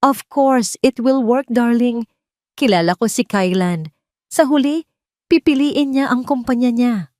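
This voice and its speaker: Lady doing a gloating filipino villainess, smug and boastful, pitched up, audio drama. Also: animated, unhurried, a little mellow, no echo, studio quality. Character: arrogant scheming young woman, gloating villainess.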